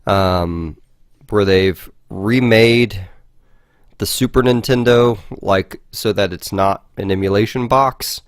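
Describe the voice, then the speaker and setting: A man's voice: lowish voice, takes it slowly, clear, resonant tone, no room sound, studio quality. A man co-hosting a podcast in casual chat, rambling and hesitant, with a self-deprecating manner. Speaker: podcast co-host.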